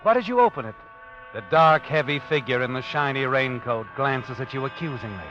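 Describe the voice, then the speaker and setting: An actor playing a sinister, all-knowing narrator of a 1940s-style radio suspense drama, speaking in a deliberate, knowing manner. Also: male voice, mid-range voice, animated, close to an everyday voice. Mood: suspenseful. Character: omniscient sinister narrator taunting the guilty character. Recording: muffled old radio broadcast recording. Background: dramatic brass music.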